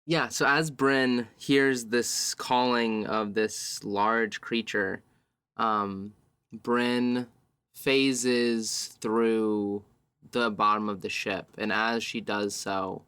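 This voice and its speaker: Low-voiced man narrating slowly, halting. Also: fairly even intonation, sharp tone, clean tone, dry recording. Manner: halting, piecing descriptions together in fragments. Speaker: player in a tabletop roleplaying game. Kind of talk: improvised descriptive tabletop game narration.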